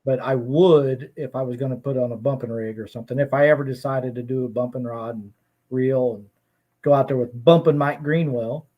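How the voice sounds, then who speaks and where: A man's voice: mid-range voice, fairly even intonation, fairly quick, muffled recording. Easygoing man chatting about fishing tackle. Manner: easygoing, laughs often, restarts his sentences. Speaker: podcast guest, fishing channel creator. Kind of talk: casual chat.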